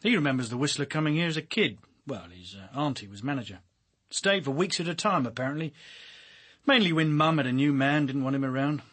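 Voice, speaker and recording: An actor in a radio drama playing a world-weary, driven senior detective with a dry, blunt, commanding manner. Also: male voice, a little high, animated, a little fast, a bit bright. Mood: sardonic, musing.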